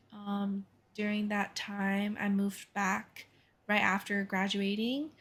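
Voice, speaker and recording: A woman in her twenties from Taiwan with a North American accent, chatting casually as a podcast co-host, full of fillers and quoted asides. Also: mid-range voice. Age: 20s. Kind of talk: casual chat.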